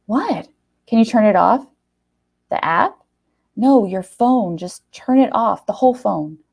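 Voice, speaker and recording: A woman's voice: mid-range voice, animated, slow, creaky, darkish tone, husky, pitched above the performer's own voice, full character voice. A woman voicing an evasive groom, smug yet hesitant and placating when cornered. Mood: urgent.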